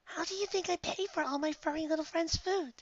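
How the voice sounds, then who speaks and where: A performer voicing a shy, naive, gentle female pegasus pony in a soft, hesitant, breathy voice for a fan story reading. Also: very high-pitched, fairly quick, dry recording, pitched above the performer's own voice. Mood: shy, puzzled. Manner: timid, hesitant, mild. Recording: slightly dull home recording.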